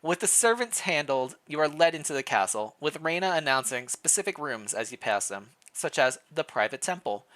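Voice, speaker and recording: Bright, animated streamer reading a story aloud. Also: mid-range voice, moderate pace, few pauses. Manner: animated, playful asides. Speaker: streamer, reader. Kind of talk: reading interactive fan fiction aloud on a live stream.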